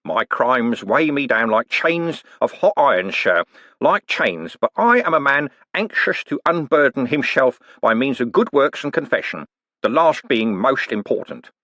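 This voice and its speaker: A man's voice: mid-range voice, big pitch swings, a little slow, pushed and bright, no room sound, pitched above the performer's own voice. A man voicing a sly older male con man who poses as a preacher, wheedling and mock-pious with folksy slang. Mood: mock-solemn.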